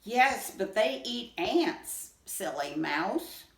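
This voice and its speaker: Woman doing a brisk, indignant little ant. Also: mid-range voice, sing-song, echoey room, far from the mic, full character voice. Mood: instructive. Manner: brisk, scolding. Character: small indignant male ant, bossy but fair little brother.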